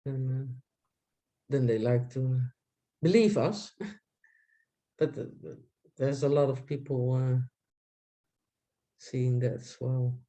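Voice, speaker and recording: A woman with a Dutch accent, a guest chatting on a video call, speaking haltingly with many restarts and fillers. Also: extremely low pitch, mellow. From Netherlands. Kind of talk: casual video call chat.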